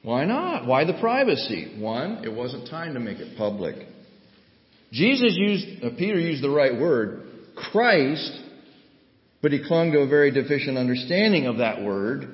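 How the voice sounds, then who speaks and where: A man's voice: mid-range voice, very animated, unhurried. Emphatic preacher man in an echoing hall. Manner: emphatic, rhetorical questions, plays out scenes. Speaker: pastor. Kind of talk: sermon. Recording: echoey muffled hall recording.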